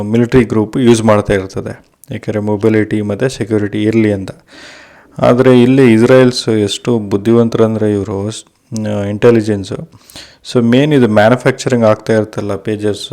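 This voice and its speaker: Low, flat-pitched man explaining informally. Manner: colloquial, mixes in English terms. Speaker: video presenter.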